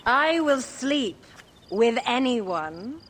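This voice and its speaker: A woman's voice: on the high side, sing-song, very slow, slight background noise, full character voice. Languid, imperious queen. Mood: languid, teasing. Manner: languid, regal. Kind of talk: dramatic video game acting.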